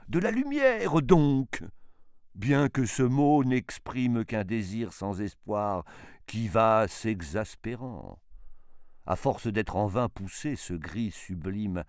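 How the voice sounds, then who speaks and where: A man giving a dramatic, theatrical poetry recitation in an impassioned, declamatory manner, with emphatic swells and hushed drops. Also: lowish voice, smooth, no room sound, studio quality.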